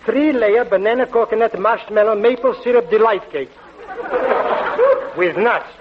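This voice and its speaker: A cocky, muddled diner owner from an old radio comedy, played by a man, with a high voice. He mangles his English in a comic foreign dialect. The old broadcast is dull and very noisy. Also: normal speed, a loud crowd, natural voice. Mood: cocky, boastful. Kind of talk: 1940s radio comedy acting.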